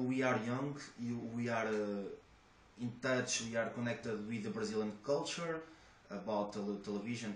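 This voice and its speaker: Young Portuguese-accented man, low-ish voice, hesitant interview answers, roomy echo. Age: young adult. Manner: hesitant, many restarts and fillers. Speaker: job applicant. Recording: echoey room with faint noise.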